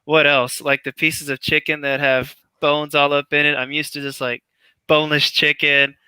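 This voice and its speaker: A man from Tennessee, chatting on a video call in an animated, complaining way. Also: a little high, clear, resonant tone, no room sound. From Tennessee, US South. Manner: animated, complaining, quick with laughs. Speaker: guest on a live chat. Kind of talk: casual group chat.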